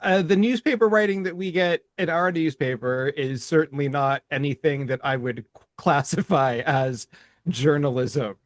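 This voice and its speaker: A man's voice: a little high, moderate pace, no room sound. A man co-hosting a podcast in casual conversation who laughs often and stammers over his restarts. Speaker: podcast co-host.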